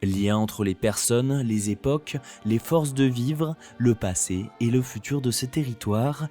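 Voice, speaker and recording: A man reading scripted narration for a promotional documentary, in a measured presenter's style. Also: medium pitch, dark tone. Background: background music.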